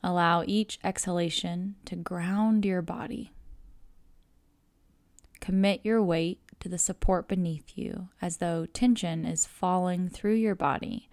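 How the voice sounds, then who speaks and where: A woman guiding a relaxation meditation, speaking in a calm, measured, soothing way with pauses between phrases. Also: fairly low pitch, no echo. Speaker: yoga nidra guide. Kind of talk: guided meditation narration.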